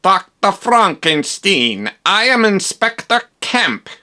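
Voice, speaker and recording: A man's voice: mid-range voice, animated, a little slow, over music, natural voice. A man putting on a stiff foreign inspector. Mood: officious. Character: stern stiff foreign male police inspector.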